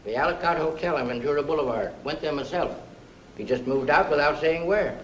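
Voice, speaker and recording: An actor playing a brisk, folksy older theater hand in a 1950s film. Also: male voice, a little high, fairly bright tone, lo-fi sound, natural voice. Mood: matter-of-fact. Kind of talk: 1950s film character acting. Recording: echoey, noisy old film soundtrack.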